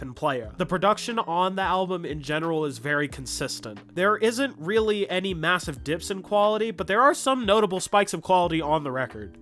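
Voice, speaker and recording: A man's voice: on the high side, a bit bright. A man reviewing an album in a casual, rambling way with lots of fillers, sounding a bit sick. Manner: rambling with many fillers and restarts. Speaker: music reviewer. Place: bedroom. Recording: music playing behind.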